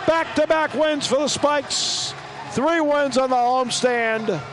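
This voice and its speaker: A man announcing a baseball game on radio, giving scores and reading sponsor lines in a brisk announcer delivery. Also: very high-pitched, background noise. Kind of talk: radio sports broadcast.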